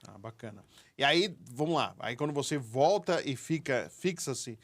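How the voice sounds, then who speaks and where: A man's voice: medium pitch, expressive, moderate pace. A man hosting a football interview, asking questions and chiming in with short acknowledgments. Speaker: interview host. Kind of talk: sports interview.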